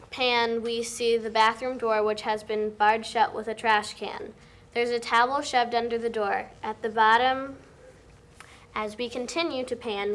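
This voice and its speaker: A young woman reading screenplay directions aloud as the narrator of a table read. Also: fairly high pitch. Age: young adult. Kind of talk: scripted narration. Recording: noisy room recording.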